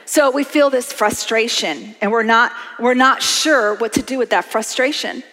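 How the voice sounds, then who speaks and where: High-voiced woman preacher in her fifties. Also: moderate pace. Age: 50s. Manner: animated, conversational preaching with congregation call-outs. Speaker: pastor. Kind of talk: sermon. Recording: long hall reverb.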